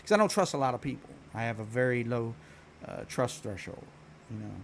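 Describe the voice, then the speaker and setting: Man, streamer chatting, blunt and profane, rambling, mid-pitched voice, faint background hum. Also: animated, brisk. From Philadelphia. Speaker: live streamer. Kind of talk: casual storytelling chat to stream viewers.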